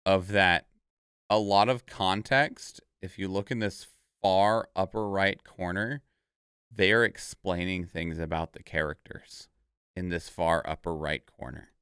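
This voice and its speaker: Male. Smooth, dry-miked voice, rambling casual reaction commentary. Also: deep voice, measured pace, a bit bright, no room sound. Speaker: reaction video host.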